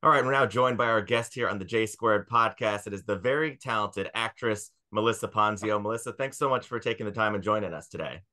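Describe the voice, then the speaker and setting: A man in his thirties with a slightly low voice hosts a podcast. He introduces and questions in a casual, conversational style from a room on a video call. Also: brisk, hardly any pauses, pushed and bright. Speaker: podcast host. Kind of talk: casual interview hosting.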